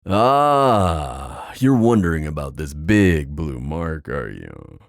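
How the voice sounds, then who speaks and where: A man's voice: extremely low pitch, big pitch swings, gravelly, no echo, clean studio sound, heavily acted. A man playing a flirtatious, confident giant sea god in an ASMR roleplay, teasing and theatrical in his delivery. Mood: playful, teasing. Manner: teasing, theatrical, drawn-out phrasing.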